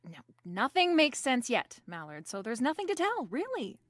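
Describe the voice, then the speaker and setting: A woman's voice: sits high, huge pitch swings, moderate pace, fairly bright tone, no room sound, clean studio sound, heavily acted. A woman playing a breezy, wisecracking female private detective in a noir audio drama, narrating and bantering. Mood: wry, evasive. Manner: wisecracking, coolly playful.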